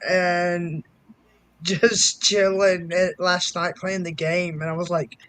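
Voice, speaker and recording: A man in his twenties chatting casually on a live stream, excitable and swearing freely. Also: sits high, slow, clear, resonant tone, dry recording. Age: 20s. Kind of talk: casual chat.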